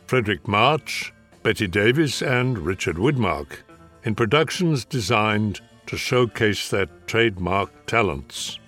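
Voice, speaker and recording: An older man hosting a mystery radio show with a measured, deliberate delivery. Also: fairly low pitch, fairly bright tone. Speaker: host. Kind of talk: scripted introduction.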